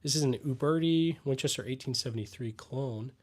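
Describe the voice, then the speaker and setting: A young man from the US Midwest hosting a casual livestream, reading chat comments aloud and answering them with lots of fillers and pauses. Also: medium pitch. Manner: relaxed, trailing fillers, pauses to read comments.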